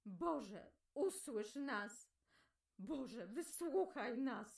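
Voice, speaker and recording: A woman's voice: on the high side, animated, extremely slow, vocal fry, slightly rough, dry recording, studio quality, heavily acted. An actress playing a fearful, pious village mother in a radio drama, submissive and pleading as if in prayer. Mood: praying.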